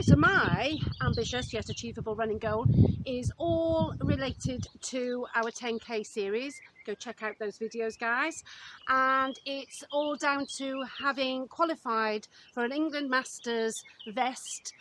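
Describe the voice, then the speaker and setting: A woman's voice: a little high, unhurried, a bit bright, slight background noise. A woman in her fifties from Wales, co-hosting a running channel and chatting casually to camera about her goals. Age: 50s.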